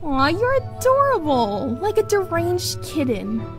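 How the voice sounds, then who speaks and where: A woman's voice: extremely high pitch, huge pitch swings, measured pace, music in the background, heavily acted. A woman playing a sweet, teasing young female mage and peacemaker in a visual novel. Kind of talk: visual novel character acting.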